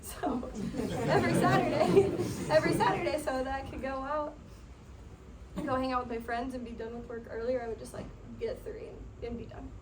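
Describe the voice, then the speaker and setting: A woman's voice: high-pitched, fairly even intonation, fairly quick, over a noisy crowd. A young woman telling a casual story to a group, chatty and full of "like", laughing often. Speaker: sales team member sharing her story. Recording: distant mic, noisy room.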